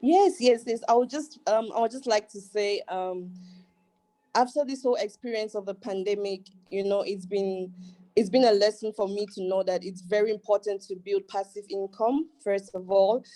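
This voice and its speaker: Brief: excited woman guest from Cameroon, warm and mellow tone. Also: mid-range voice, normal speed. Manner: cheerful and excited. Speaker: student guest. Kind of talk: testimonial on a video call.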